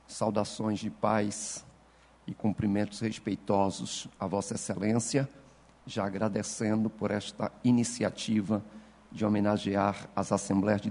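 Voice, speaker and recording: A man in his fifties, a pastor, giving a formal and ceremonious address at a church session that rises into fervent preaching, with a husky voice. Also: on the low side, darkish tone, long reverb tail. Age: 50s.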